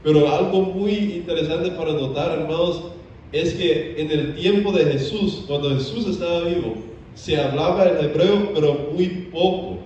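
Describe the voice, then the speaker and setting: A man from Belize, a theology student teaching a church seminar, often pausing on "eh". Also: on the high side, warm tone, slight background noise. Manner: frequent "eh" hesitations. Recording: echoey church from a distant, muffled mic.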